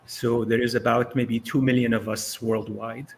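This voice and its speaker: A man's voice: medium pitch, level tone, normal speed, creaky, slight background noise. Syrian-born man in his sixties, storytelling. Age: 60s. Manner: conversational storytelling with frequent fillers. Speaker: guest speaker. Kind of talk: casual presentation over video call.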